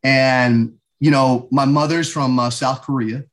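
A man's voice: medium pitch, steady tone, a little slow. A man in his forties from the United States, talking reflectively as an interview guest, with many "you know" fillers. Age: 40s. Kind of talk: casual interview conversation.

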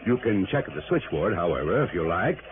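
A man's voice: deep voice, very animated, a little fast, a crowd in the background. An actor playing a fussy, self-important, fatherly company boss of middle age in an old radio drama, blustering and hesitant. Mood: flustered, evasive. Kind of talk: 1950s-60s radio drama acting. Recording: noisy, tinny old radio broadcast.

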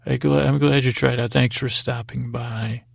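A man hosting a bedtime podcast in a lulling, soothing, gravelly voice, meandering and halting with long pauses. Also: mid-range voice, fairly even intonation. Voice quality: gravelly, creaky. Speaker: podcast host. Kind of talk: rambling bedtime podcast monologue.

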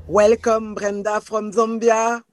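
A woman's voice: mid-range voice, flat delivery, takes it slowly, over music. A woman asking questions as an interviewer.

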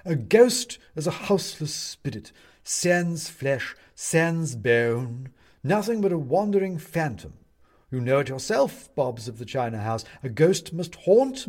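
A man voicing a pompous ghost judge in an owl ornament, with declamatory, drawn-out courtroom oratory, in a storybook reading. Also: medium pitch, a little mellow.

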